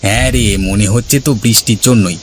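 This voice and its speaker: A man's voice: lowish voice, brisk, slight background noise, lightly acted. A man voicing a brave, curious village youngster in a cartoon, confident and reassuring. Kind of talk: cartoon character dialogue.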